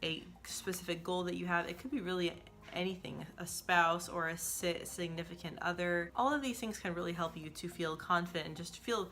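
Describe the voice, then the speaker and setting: Young woman who stutters, bright voice. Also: on the low side, fairly even intonation, brisk, slight background noise. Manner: stutters, frequent repeated sounds and restarts. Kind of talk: casual talk to camera.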